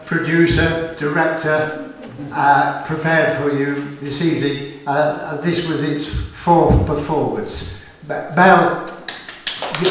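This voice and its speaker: A man's voice: on the high side, a bit bright. An older man making a hesitant, polite, informal closing announcement to an audience. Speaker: charity chairman thanking a play audience. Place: echoey indoor venue, recorded from a distance. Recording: echoey room, distant microphone.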